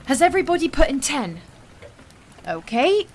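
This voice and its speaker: A woman playing a brisk young woman who runs things with short, businesslike prompts in an audio drama. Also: high-pitched, sing-song, a little hiss or hum.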